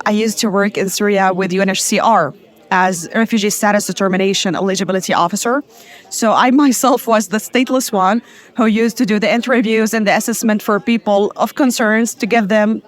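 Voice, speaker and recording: An earnest woman from Palestine tells her story in an interview, her voice sitting at an ordinary middle pitch. She often stops and restarts her sentences. It is a plain conference testimony. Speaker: conference participant.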